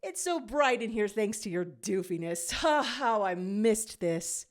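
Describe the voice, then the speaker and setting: A woman's voice: mid-range voice, animated, a little slow, lightly acted. A woman voicing a gleeful, affectionate male jackal who teases and dotes on his younger brothers as pack boss. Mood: playful. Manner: playful, teasing, doting.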